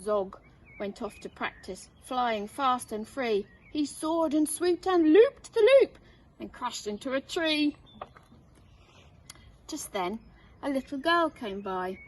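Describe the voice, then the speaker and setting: A woman reads a children's story as a teacher would, expressively and without hurry. Her voice is high, caught a little way from the microphone with faint noise behind it. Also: big pitch swings, slow pace. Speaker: head teacher reading aloud. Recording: distant mic, faint noise.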